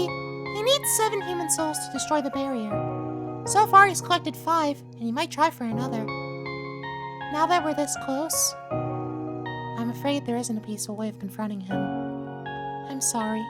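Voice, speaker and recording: A woman voicing a kind, excitable female bird, an earnest and protective friend, with expressive delivery in a game dub. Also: high-pitched. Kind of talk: dramatic game fan dub.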